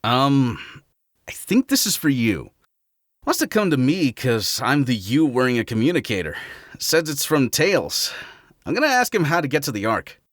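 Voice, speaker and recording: Man as a lively, easygoing cartoon hedgehog. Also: mid-range voice, normal speed, dry recording, clean studio sound. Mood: casual, curious. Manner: casual, playful. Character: easygoing, goofy, trusting male hedgehog hero.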